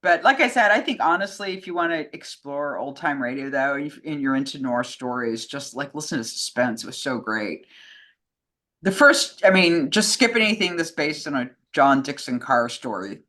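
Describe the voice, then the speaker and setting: A woman's voice: sits low, lively intonation, normal speed, fairly bright tone. A woman in casual film and radio chat, informal and opinionated, rambling with lots of "like". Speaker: co-host answering fan questions.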